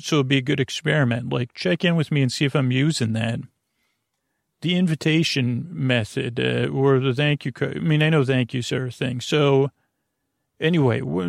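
A man with a lower-middle, creaky voice full of fry, recorded close and dry. He hosts a sleep podcast in a rambling monologue. He meanders, halts and trips over words as he drifts from one thought to the next. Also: dry recording. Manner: meandering, halting, trails off and stumbles over words. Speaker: podcast host.

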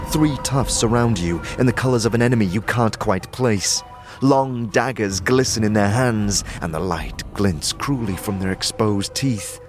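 Low-pitched man narrating fantasy drama. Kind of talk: dramatic scripted second-person fantasy narration.

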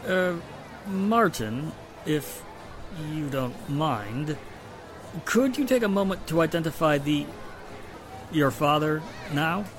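An actor playing a considerate, businesslike police inspector in a 1940s-style radio drama. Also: male voice, fairly high pitch, lively intonation, slow pace, pauses often, background noise. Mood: grave, official.

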